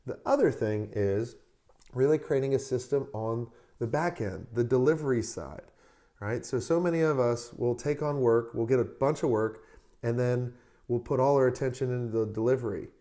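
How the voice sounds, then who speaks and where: A man with a mellow, darker voice that is still hoarse, hosting his own advice show. He talks straight to his listeners in an upbeat, motivational coaching tone and urges them to take action. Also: medium pitch, normal speed. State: hoarse, recovering from a lost voice. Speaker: podcast host. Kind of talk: solo advice podcast.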